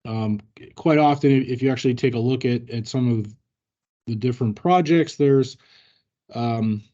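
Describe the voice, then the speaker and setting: Bright-voiced man presenting, dull audio. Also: medium pitch, normal speed. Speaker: training presenter. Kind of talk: explanatory workplace training talk.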